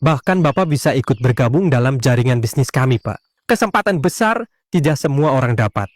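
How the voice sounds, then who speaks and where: A man's voice: mid-range voice, expressive, fairly quick, dry recording, natural voice. Dry-sounding, persuasive business sidekick, acted by a man. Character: smooth-talking, persuasive associate of a wealthy businessman. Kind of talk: animated drama dialogue.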